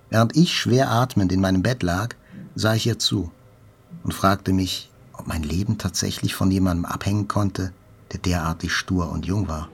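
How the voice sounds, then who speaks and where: A man narrating a literary first-person story for a radio drama in a measured, reflective manner. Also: sits low, slightly rough.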